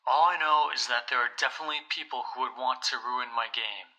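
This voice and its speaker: A man's voice: mid-range voice, moderate pace, sharp tone, rough recording. A young man acting a wary, insistent teenage basketball star in an audio drama, talking in casual slang. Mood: suspicious, insistent.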